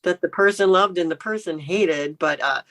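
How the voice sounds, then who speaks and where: A woman's voice: lowish voice, moderate pace, fairly bright tone. An American woman speaking as a guest novelist in a casual interview, conversational and explanatory.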